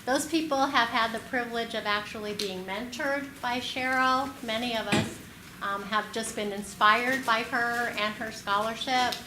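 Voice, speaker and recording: A woman's voice: fairly high pitch, moderate pace. A woman introducing a keynote speaker at a conference, admiring and with frequent filler words. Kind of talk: formal introduction. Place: conference room. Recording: roomy hall with clear noise.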